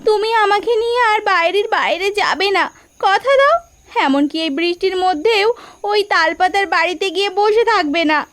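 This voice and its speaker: Young newlywed wife for a cartoon; very high voice, tearful, pleading, devoted. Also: female voice, animated, moderate pace, a bit bright. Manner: plaintive, pleading. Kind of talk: animated story character acting.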